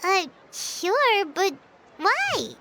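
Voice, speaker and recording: A woman's voice: very high voice, very animated, very slow, background noise, exaggerated voice. A woman voicing a small, curious, naive childlike companion in a video game, given to exclamations. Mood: puzzled. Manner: speaks of self in third person, exclaiming. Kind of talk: video game character acting.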